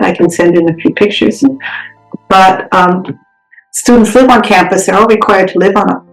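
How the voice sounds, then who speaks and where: A woman's voice: mid-range voice, roomy sound, poor audio. An American woman, a program director, patiently explaining how the program works in a podcast interview. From United States. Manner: reassuring, explanatory. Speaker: regional director of a freshman program.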